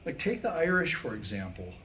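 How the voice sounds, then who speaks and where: A man's voice: a little high, sing-song, fairly quick, sharp tone. A man in his sixties performing a staged reading of jokes and comic monologue, wryly acting out the dialogue. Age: 60s. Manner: wry joke-telling, acting out quoted dialogue. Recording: live room recording with background noise.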